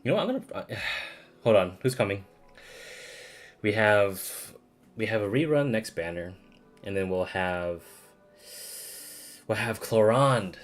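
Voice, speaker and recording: A man's voice: on the low side, animated, unhurried, pauses often, faint music behind. A man streaming a video game with casual, slangy, sweary reactions and muttered asides. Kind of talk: casual reacting commentary over gameplay.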